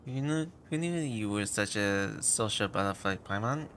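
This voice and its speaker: A man livestreaming a game with casual commentary, hesitant and halting, mumbling at times as he gathers his words. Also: fairly low pitch, animated, fairly bright tone, a little hiss or hum.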